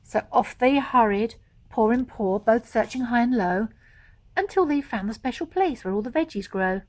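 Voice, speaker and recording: High-voiced woman storyteller. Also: expressive, normal speed, no room sound. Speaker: author reading own story. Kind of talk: children's storybook narration in rhyme.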